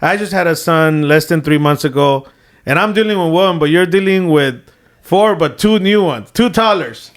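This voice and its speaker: A man in his thirties hosts a podcast in a notably high voice. He is upbeat and affirming toward his guest, and he switches into Spanish phrases from time to time. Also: moderate pace. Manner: hyped, affirming, mixes in Spanish. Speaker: podcast host. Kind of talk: casual podcast interview.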